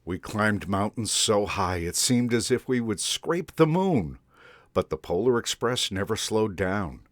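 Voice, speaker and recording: Slow, expressive man reading a storybook. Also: lowish voice, dry recording, studio quality. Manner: gentle, unhurried, expressive reading. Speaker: storyteller in a Santa persona. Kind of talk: storybook read-aloud to children.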